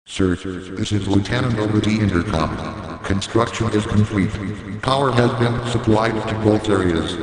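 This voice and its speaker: A man's voice: fairly low pitch, normal speed, heavy reverb, big-room sound, a crowd in the background, natural voice, poor audio. A man playing a formal, deferential military lieutenant reporting to a superior in an audio drama. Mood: businesslike.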